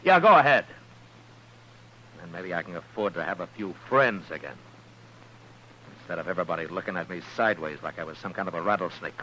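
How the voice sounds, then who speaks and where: An actor playing a stern, weary American frontier marshal in a radio western, speaking with dry, sardonic authority. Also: male voice, low voice, lively intonation, a little fast, long pauses, pushed and bright. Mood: bitter, sardonic. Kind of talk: 1950s radio western acting. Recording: noisy, humming old radio recording.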